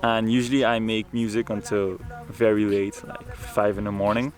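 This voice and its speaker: A man's voice: fairly low pitch, little pitch movement, moderate pace. A young man who grew up in Ireland, casually reading out fan questions and answering them in a candid, laid-back way for his vlog.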